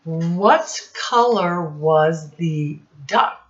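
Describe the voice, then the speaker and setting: Slow, low-voiced woman teaching young children. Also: lively intonation, dull audio. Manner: encouraging, addresses children directly with questions. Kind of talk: read-aloud lesson quizzing young children.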